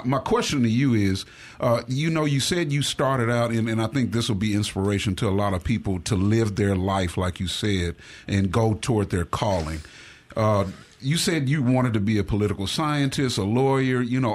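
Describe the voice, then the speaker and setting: A man hosting an interview, asking long, rambling questions in a relaxed, conversational way. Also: medium pitch, moderate pace. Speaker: host. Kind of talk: casual interview chat.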